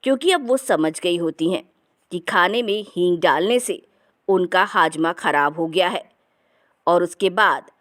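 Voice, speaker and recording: A woman's voice: on the low side, unhurried, a bit bright. A woman narrating a scripted comic family story as a storyteller.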